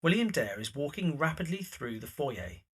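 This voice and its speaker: Male narrator for scripted drama: forceful, raspy, mid-pitched, reads scene directions. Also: big pitch swings, moderate pace.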